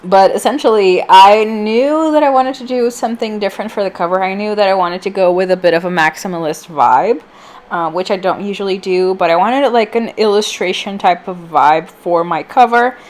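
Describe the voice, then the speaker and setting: Female vlogger, medium pitch, voice a touch off from allergies; informal, rambling, chatty craft voice-over. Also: moderate pace. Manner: informal, rambling, self-deprecating, filler-heavy. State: slightly off voice from allergies. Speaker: vlogger, channel host.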